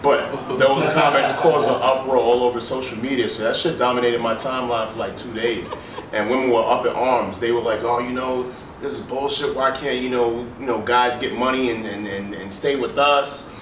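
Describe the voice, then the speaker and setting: A man from Brooklyn, New York, talking casually in a group discussion with relaxed, filler-heavy storytelling. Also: medium pitch, normal speed. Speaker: panelist. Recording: roomy sound from a distance with noise.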